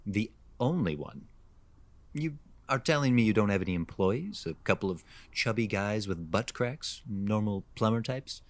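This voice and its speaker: A man's voice: fairly low pitch, animated, moderate pace, dry recording. A man playing a condescending, sexist wealthy homeowner with a haughty, insinuating manner in an audio drama. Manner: hesitant fillers, haughty, insinuating.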